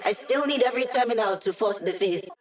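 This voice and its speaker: A woman's voice: fairly high pitch, fast, soft background music, close to an everyday voice. A woman playing a wry, playful young hacker in a video game, with a casual, quippy way of talking. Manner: breezy, casual, teasing, quippy. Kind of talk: scripted game character voice lines.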